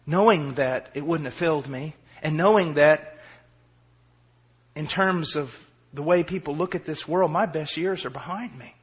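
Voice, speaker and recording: A man in his forties preaching a sermon with passion. He moves from quiet, low passages to shouted peaks and mixes in self-deprecating humor. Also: measured pace, breathy edge. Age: 40s. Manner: impassioned, swinging from quiet to shouting. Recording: dull lo-fi recording.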